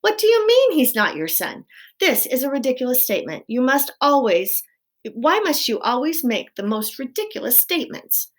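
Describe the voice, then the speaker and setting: A woman's voice: mid-range voice, expressive, a little slow. A woman voicing a haughty, dismissive mother mouse in a storybook. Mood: indignant. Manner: indignant, dismissive, foreign phrasing.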